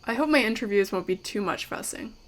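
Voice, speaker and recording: Casting: actress as an inquisitive young interviewer and narrator for an audio play, mid pitch, politely teasing and wry. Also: female voice, lively intonation, brisk, lightly acted. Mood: curious, playful. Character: curious, wry young woman documentary maker, politely playful. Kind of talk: audio drama acting with narration.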